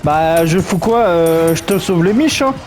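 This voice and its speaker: A man's voice: fairly high pitch, lively intonation, unhurried, a little mellow, faint music behind. A man commenting on a game as he plays, in a chatty, joking, reactive way. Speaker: gaming streamer. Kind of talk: let's play commentary.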